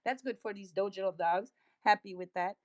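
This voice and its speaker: A woman's voice: medium pitch, a little fast, darkish tone, no echo, studio quality. A woman with a Dutch accent co-hosting a crypto livestream, giving casual market commentary in an upbeat way, laughing often and using hype slang.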